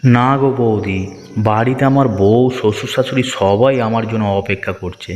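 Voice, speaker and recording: A man's voice: fairly low pitch, normal speed, a little mellow, close to an everyday voice. A man playing a dutiful, good-natured village family man in a moral-story cartoon, earnest and familiar in manner. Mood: affectionate, reassuring. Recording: reverberant hall effect, music behind.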